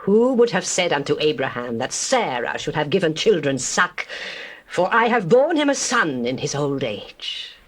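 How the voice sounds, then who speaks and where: A woman's voice: low voice, big pitch swings, normal speed. A woman playing a proud elderly biblical matriarch in a dramatized scripture reading, with emphatic delivery. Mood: joyful.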